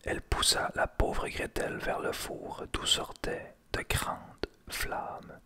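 A man reading a fairy tale aloud in a soft ASMR whisper. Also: slow pace. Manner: unvoiced whisper throughout. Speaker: storyteller.

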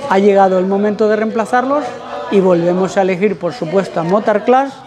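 High-pitched man explaining step by step. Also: brisk, crowd noise. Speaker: presenter of a motorcycle channel. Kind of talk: tutorial voice-over commentary.

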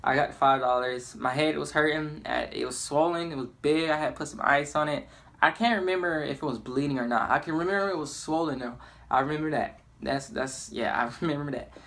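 A young man talks in an informal, rambling way, like a gamer telling stories to viewers. His delivery is casual and loose, with animated bits when he acts things out. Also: on the high side. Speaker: YouTube gamer and storyteller. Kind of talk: casual storytelling over gameplay.

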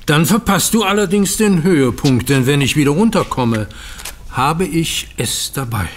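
A man's voice: a little high, animated, normal speed, slight background noise. A man playing a courteous, self-satisfied wealthy vault owner in a German radio play, formal and composed. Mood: politely insistent.

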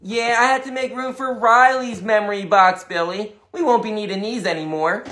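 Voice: mid-range voice, normal speed, bright, forceful tone, lightly acted. A performer voicing an exasperated, doting suburban mom who scolds and gushes in a comedy cartoon. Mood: dismissive. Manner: scolding, gushing, dramatic. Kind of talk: exaggerated comedy cartoon voice acting.

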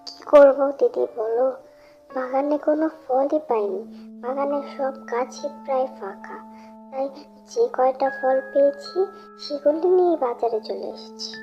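A woman's voice: sits high, a little slow, mellow. A woman voicing an anxious, hard-working animal mother who sells fruit in a fairy-tale cartoon. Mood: worried. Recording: dull lo-fi audio with music behind.

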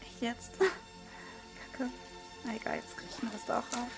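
A hoarse woman talks through an unboxing at her desk, her voice rough and gravelly. She sits a little higher than most women, with soft music faint underneath. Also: unhurried. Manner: relaxed, with frequent hesitation fillers. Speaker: card unboxing video host. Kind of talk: casual unboxing commentary. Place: indoors at a desk, hands over table. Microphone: small camera-mounted microphone.

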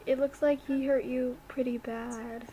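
A woman's voice: sits high, level tone, a little slow, dull audio, crowd noise. A woman acting a student in an amateur game roleplay.

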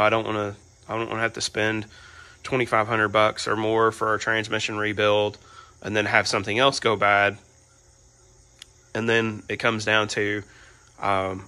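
A man with a hoarse, bright, forceful voice and a fairly low pitch talks through a casual garage update. He rambles loosely, with plenty of "um" and "you know". He is filmed on a handheld phone in a large home garage, with crickets chirping faintly behind him. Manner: relaxed, filler-heavy rambling with frequent "um" and "you know". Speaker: garage channel host.

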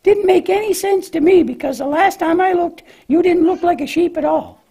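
A woman's voice: very high voice, normal speed, creaky, warm tone. A woman voicing a cheerful, naive young lamb in a children's church puppet show, hesitant and questioning in a childlike way. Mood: curious.

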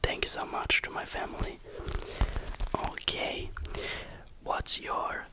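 An ASMR creator whispering answers to questions in English, halting and searching for words, close to the microphone.

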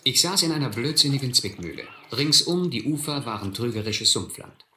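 An actor as a reflective first-person narrator recalling a boyhood adventure in a German radio play. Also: male voice, mid-range voice, animated, a little fast, sharp tone, roomy sound, slight background noise.

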